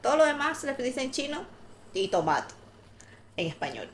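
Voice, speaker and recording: A woman co-hosting a podcast, telling personal stories candidly in a relaxed chatty way. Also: sits high, moderate pace, slight background noise. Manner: candid storytelling with light laughter. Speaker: podcast co-host. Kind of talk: casual conversational podcast chat.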